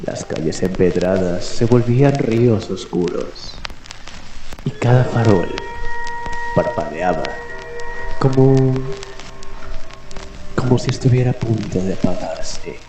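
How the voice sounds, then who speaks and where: A man narrates a dark radio drama in a lowish voice. His scripted delivery is ominous and deliberate, full of pauses, echoing over music and rain. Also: animated. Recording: echoey, music and rain behind.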